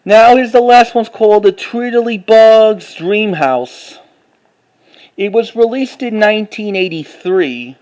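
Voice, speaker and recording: A man with a high-pitched voice talks casually as he shows off a collection. He reads titles out and speaks in a halting way, often restarting or repeating a phrase. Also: darkish tone, low-quality recording. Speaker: collector showing finds to camera. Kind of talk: casual unscripted show-and-tell narration.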